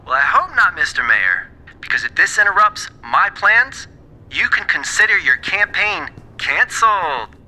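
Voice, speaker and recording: An actor playing a controlling, menacing political backer in an audio drama, pointedly polite. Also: male voice, high-pitched, very animated, a little slow, bright, forceful tone, heavily acted, rough recording. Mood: coolly threatening. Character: menacing male moneyed backer, coolly controlling.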